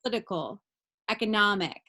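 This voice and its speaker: A woman's voice: medium pitch, expressive, brisk. A woman college teacher hosts a class video call, talking in a chatty way with lots of fillers. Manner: warm, chatty, many fillers.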